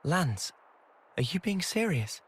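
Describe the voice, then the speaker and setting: A determined young soldier, in a game cutscene. His voice sits fairly high with a husky grain. He speaks earnestly, like a leader winning people over. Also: sing-song, takes it slowly, full character voice. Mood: earnest, persuasive. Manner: earnest, persuasive. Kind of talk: video game cutscene voice acting.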